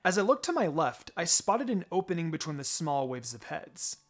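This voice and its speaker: A man's voice: fairly high pitch, big pitch swings, normal speed. A man reading fan fiction aloud as a dramatic first-person story. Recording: music behind the voice. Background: background music.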